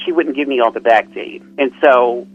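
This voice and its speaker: A young man, a dancer, talking earnestly and searchingly about his family in an interview, his voice often dropping into quieter asides. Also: mid-range voice, fairly quick, narrow, tinny audio, slight background noise. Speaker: guest dancer. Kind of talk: reflective personal interview.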